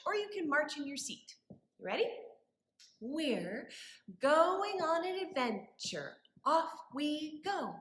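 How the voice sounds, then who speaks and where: A woman leading story time for young children as a librarian, with animated wonder and a sing-song, encouraging way of talking. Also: sits high. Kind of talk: children's story time with rhymes, questions and songs. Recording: echoey room.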